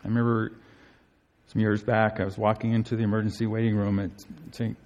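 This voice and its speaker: A male pastor preaching an earnest sermon, his voice climbing high to stress key phrases. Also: little pitch movement, fairly quick, a little mellow. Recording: long hall reverb.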